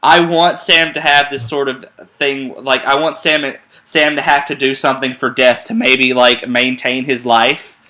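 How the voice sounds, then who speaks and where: A man's voice: mid-range voice, flat delivery, unhurried, few pauses, sharp tone. A young man co-hosting a casual fan radio chat, eager and rambling, often restarting his sentences. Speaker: podcast co-host.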